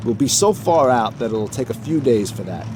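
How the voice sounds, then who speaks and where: An actor playing a gruff, suspicious boat captain down on his luck in an audio drama. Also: mid-range voice, big pitch swings, moderate pace, background noise, natural voice. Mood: weary, frustrated. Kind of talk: full-cast audio drama acting. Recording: music behind the voice.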